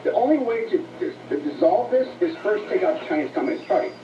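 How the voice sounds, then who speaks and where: A high-pitched man gives earnest, slightly halting interview answers. He sounds roomy and distant, as if played back over a dull radio. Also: animated, noisy background. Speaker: interview guest, author.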